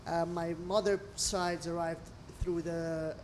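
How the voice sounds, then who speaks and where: A woman's voice: lowish voice, takes it slowly, a little hiss or hum. A middle-aged woman from Lebanon, a restaurant owner talking expressively in imperfect English during an interview over a meal. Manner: expressive storyteller with non-native English phrasing.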